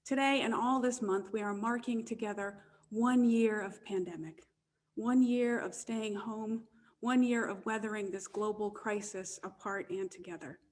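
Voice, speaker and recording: A woman minister leading an online church service, with a warm and gentle delivery. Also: medium pitch, steady tone, normal speed. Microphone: video call.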